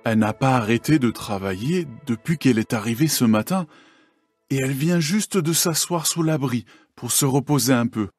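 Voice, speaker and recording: Man acting a young harvest foreman, respectful, medium pitch, light music behind, Bible audio drama. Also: moderate pace, a little mellow, husky, natural voice. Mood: respectful, reporting. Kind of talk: dramatised scripture reading.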